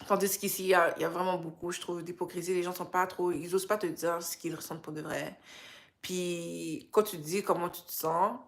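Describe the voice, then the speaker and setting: A woman's voice: lowish voice, fairly quick, vocal fry. A young woman from Canada with a Québécois French accent, sounding tired and chatting casually with viewers on a livestream, slipping in English phrases. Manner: relaxed, mixes in English phrases. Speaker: livestream host.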